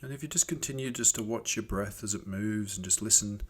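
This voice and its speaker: Low-voiced man guiding a meditation. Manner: gentle, unhurried, soothing instruction with pauses. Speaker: meditation teacher. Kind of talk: guided meditation.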